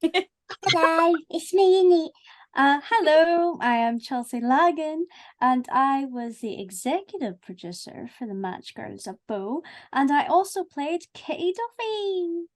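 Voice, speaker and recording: Female podcast guest, high voice, swooping animated delivery, casual. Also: measured pace. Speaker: podcast guest, producer and actress. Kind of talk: casual self-introduction.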